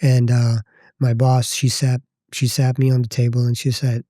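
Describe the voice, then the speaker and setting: Pakistani-accented man, warm and dry. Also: mid-range voice, monotone, dry recording. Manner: thoughtful, halting, circles back to rephrase. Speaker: podcast guest. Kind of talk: reflective personal storytelling.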